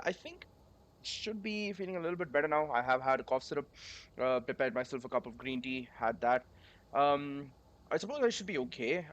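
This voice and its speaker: A man's voice: medium pitch, lo-fi sound. A young man with a sore throat from a cold, chatting casually and rambling while coding on a livestream. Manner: rambling, chatty, many fillers.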